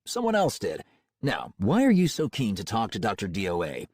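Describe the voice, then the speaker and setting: A man reading an audiobook, voicing a mysterious man who sounds professionally warm and confident, like a cold-calling salesman. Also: lowish voice, wildly swooping pitch, moderate pace, dry recording, clean studio sound. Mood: smooth.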